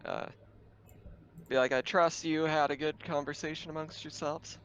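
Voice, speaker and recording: A man running a tabletop roleplaying game as game master, narrating informally and haltingly. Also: on the high side, fairly even intonation, a bit bright, a little hiss or hum. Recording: dull, lo-fi voice mic.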